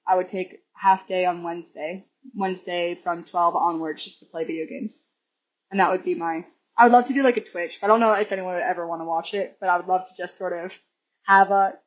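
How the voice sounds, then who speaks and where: A hoarse woman guesting on a podcast, chatting casually and rambling with restarts and laughs. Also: mid-range voice. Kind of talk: casual chat. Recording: dull lo-fi recording.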